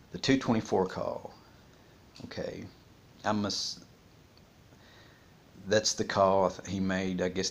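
A man in his forties with a fairly low voice talks off the cuff in a room at home. His delivery is casual and halting, as if working through his reasoning aloud into a device's built-in mic. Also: slight background noise. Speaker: vlogger discussing a criminal case. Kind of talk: casual, unscripted monologue. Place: small room at home, indoors.

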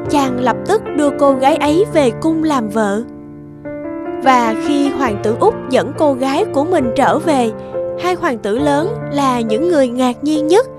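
High-voiced woman storyteller over music. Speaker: narrator. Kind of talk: scripted fairy-tale narration. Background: background music.

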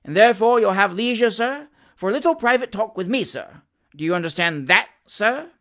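A man's voice: high-pitched, expressive, a little fast, fairly bright tone. A man voicing a pompous, affronted manservant in a storybook, solemn and over-formal, proud of his own eloquence. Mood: solemn challenge.

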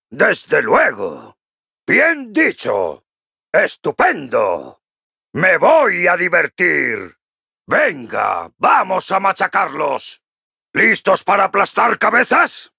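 A man with a Castilian accent from Spain voicing a boisterous, cocky, jovial dwarf warrior for a video game, gruff and raspy, hearty and full of exclamations. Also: a little high, pushed and bright, dry recording, clean studio sound, exaggerated voice. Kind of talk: video game character voice lines.

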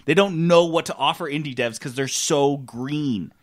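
A man's voice: a little high, normal speed. A man hosting a casual gaming podcast, giving his opinions emphatically and conversationally. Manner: emphatic, ranting, acts out imagined dialogue. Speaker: podcast host.

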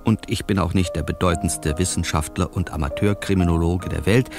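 Wry reporter telling his detective story, deep voice, radio drama, music under it. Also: fairly even intonation, brisk, vocal fry. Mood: wry introduction. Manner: wry, tongue-in-cheek. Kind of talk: scripted first-person radio play narration.

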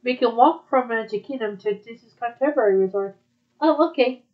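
A woman with a fairly high voice chats in a casual, conversational way. The recording is slightly dull and lo-fi. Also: brisk. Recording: dull, muffled lo-fi audio.

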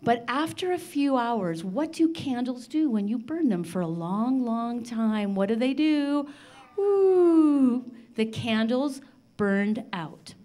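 An older woman telling a children's story live in an expressive, theatrical manner with dramatic pauses. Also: on the high side. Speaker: storyteller on a children's radio show. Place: large library room, live before a seated audience. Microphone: handheld microphone.

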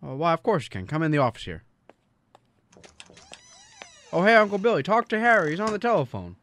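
A man's voice: fairly high pitch, very animated, racing, fairly bright tone. A man acting in a radio drama as an earnest, kindly but easily frantic small-town businessman, folksy and emphatic, with an American accent. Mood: hurried, worried. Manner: folksy, emphatic, stammering when pressed.

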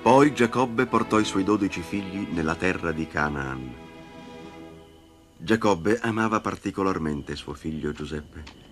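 A man giving solemn, scripted narration of a story for an animated film. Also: sits low, a little slow. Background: music.

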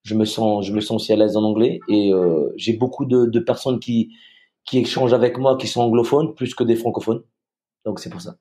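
Relaxed man from Madagascar, mellow voice. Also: on the low side, brisk. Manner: relaxed, easygoing, repeats words as he starts. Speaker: guest, retired soldier. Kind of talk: casual interview chat. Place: home room, video call.

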